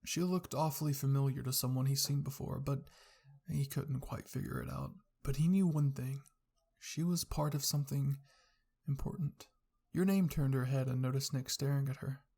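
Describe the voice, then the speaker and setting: A narrator reading a scripted fan fiction story in a husky, creaky voice, in the style of ASMR roleplay. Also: medium pitch, normal speed, dark tone.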